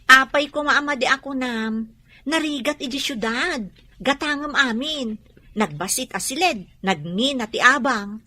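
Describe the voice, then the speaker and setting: A woman's voice: high-pitched, measured pace, bright, forceful tone, full character voice. An actress in a radio drama playing a cautious, reluctant young rural woman with a Filipino accent. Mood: doubtful.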